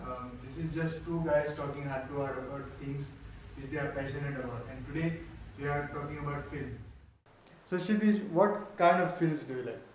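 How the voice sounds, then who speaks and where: A man's voice: a little high, fairly even intonation, normal speed. Young Indian man hosting, echoey room. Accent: Indian English. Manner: friendly, questioning, hesitant fillers. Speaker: podcast host. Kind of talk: casual chat. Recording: echoey room, faint noise.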